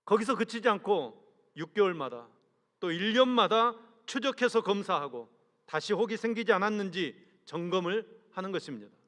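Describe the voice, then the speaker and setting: A man, a senior pastor, preaching a sermon earnestly, asking the congregation rhetorical questions and letting sentence ends fall away low. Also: lively intonation, a bit bright. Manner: measured delivery, trails off low at sentence ends. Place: large church sanctuary. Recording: long reverb from a church hall.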